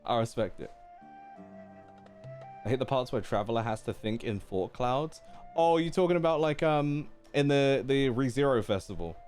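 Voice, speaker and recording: A man's voice: medium pitch, lively intonation, normal speed, a little mellow. A man giving casual, slangy live stream reactions to a video game and chatting to viewers. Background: game music.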